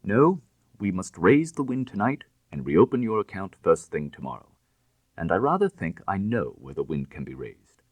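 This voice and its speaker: Guy reading as a suave gentleman crook, low husky voice, jaunty n teasing, bossy in a breezy storybook way. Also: sing-song, moderate pace, no room sound. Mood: cool, assured. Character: suave cynical gentleman burglar, breezy and commanding. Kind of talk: dramatised audiobook reading of classic fiction.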